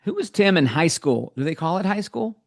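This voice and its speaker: A man's voice: sits high, sing-song, fairly quick, gravelly. A middle-aged man hosting a podcast, interviewing casually with playful teasing and short questions. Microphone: video call.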